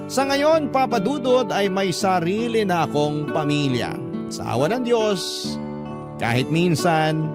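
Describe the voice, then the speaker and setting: A man's voice: high-pitched, lively intonation, unhurried. A man with a Filipino accent narrating a personal letter as a first-person story for radio drama. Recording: music playing behind the voice. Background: plucked guitar music.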